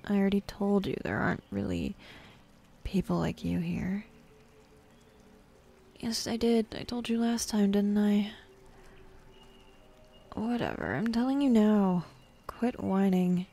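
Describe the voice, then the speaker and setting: A woman's voice: medium pitch, takes it slowly, creaky, pauses often, mellow. A woman voicing a bossy, teasing demon in an intimate ASMR roleplay, sardonic and drawling, easily bored, slipping into whispers. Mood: bossy, impatient. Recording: faint ambient forest background noise.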